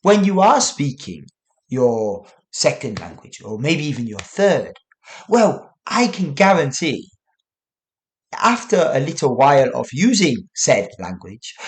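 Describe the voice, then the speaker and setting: A man's voice: a little high, very animated, takes it slowly. A man hosting his own English-learning podcast, talking casually to listeners with frequent "you know" asides. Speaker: English teacher and podcast host. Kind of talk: solo educational podcast talk.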